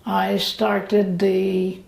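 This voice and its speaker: A woman in her late eighties being interviewed about her memories. She talks haltingly, with many fillers and pauses. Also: medium pitch, monotone, creaky, a little mellow. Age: late 80s. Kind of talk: slow, reflective memories. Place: indoors. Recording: roomy echo.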